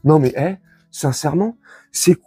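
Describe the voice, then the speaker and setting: A man from France with a husky voice, giving an informal, crude and sarcastic opinion rant to his followers as an online commentator. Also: on the high side, a little slow, warm tone, dry recording, soft background music. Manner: crude, slangy, sarcastic, indignant.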